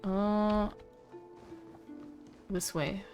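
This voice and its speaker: A woman casually commentating as she streams a game, talking to herself in a relaxed way with mild swearing. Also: mid-range voice, very slow, highs cut off. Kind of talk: casual live gameplay commentary. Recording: game music loud behind voice. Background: video game music.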